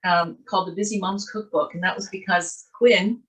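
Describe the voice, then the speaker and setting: A woman's voice: mid-range voice, fairly even intonation, normal speed, poor audio. An older woman from Canada, a guest author, chatting in an interview and telling her life story in a rambling way with asides, over a video call. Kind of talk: casual interview chat.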